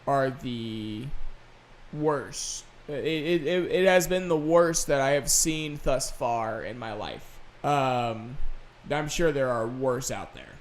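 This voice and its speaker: Slow-talking man rambling on a podcast. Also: mid-range voice, animated, a little hiss or hum. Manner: rambling, halting. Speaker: podcast host and gaming video creator. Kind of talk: casual unscripted solo podcast chat.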